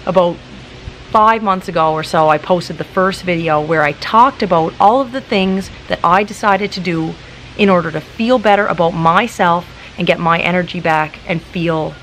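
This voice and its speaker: A woman in her late thirties talking calmly and practically to camera, chatty and casual, recorded outdoors in a garden with some wind. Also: lowish voice, normal speed, low-quality recording. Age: late 30s. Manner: calm, practical, chatty with many likes. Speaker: YouTuber. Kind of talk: casual vlog talk to camera. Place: outdoors in a garden in front of hedges.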